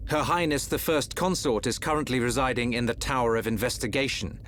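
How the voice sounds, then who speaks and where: An actor playing a dignified prince in a video game, speaking formally and precisely with courtly composure. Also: male voice, medium pitch, fairly quick, fairly bright tone, slight background noise, close to an everyday voice. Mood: measured. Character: composed, dignified adult prince and loyal advisor. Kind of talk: dramatic video game cutscene acting.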